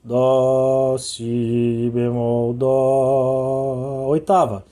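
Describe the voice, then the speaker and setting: A hoarse man teaching musical intervals, singing note names to demonstrate them and checking in often with short questions. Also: mid-range voice, level tone, drawn-out words, mellow. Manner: sings interval notes to show them. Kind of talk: sung and spoken music lesson.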